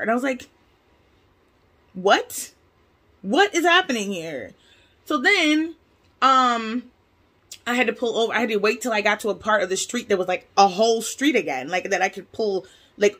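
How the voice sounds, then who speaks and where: An American woman casually telling a personal story to her viewers, animated and slangy, voicing both sides of conversations as she goes. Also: mid-range voice. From United States. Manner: animated, slangy, conversational, acts out reported dialogue. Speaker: vlogger.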